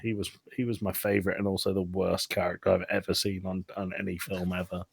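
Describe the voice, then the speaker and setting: A man's voice: deep voice, little pitch movement, quick pace, gravelly, slightly rough, no echo. A man hosting a casual film discussion podcast, speaking in a jokey, sardonic way. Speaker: podcast host.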